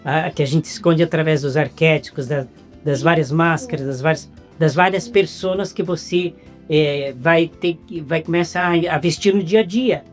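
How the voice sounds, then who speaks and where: Low-voiced Brazilian woman host. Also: moderate pace, soft background music. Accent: Brazilian Portuguese. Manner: talkative, lists ideas at length. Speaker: interview host. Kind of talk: casual interview chat.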